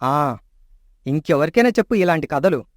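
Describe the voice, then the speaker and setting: A man's voice: fairly high pitch, animated, moderate pace, no room sound, studio quality, close to an everyday voice. An actor playing a greedy, scheming man in a storybook tale, coaxing and sneering. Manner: persuasive, sneering.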